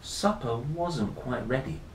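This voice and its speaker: A man's voice: mid-range voice, takes it slowly. A man reading a children's story aloud in a playful, theatrical way, with casual musing asides. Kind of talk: expressive picture-book read-aloud with casual asides. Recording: roomy echo, faint noise.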